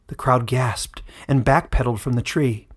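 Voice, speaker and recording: A man narrating a fantasy story in a dramatic, scripted style with measured, suspenseful delivery. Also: medium pitch, steady tone. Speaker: author and narrator.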